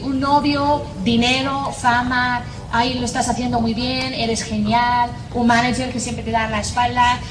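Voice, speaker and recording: Irish-accented woman, echoey and distant. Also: on the high side. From Northern Ireland. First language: English. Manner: humorous, self-mocking storytelling with many fillers. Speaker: religious sister. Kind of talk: personal faith testimony. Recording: distant mic in an echoey noisy room.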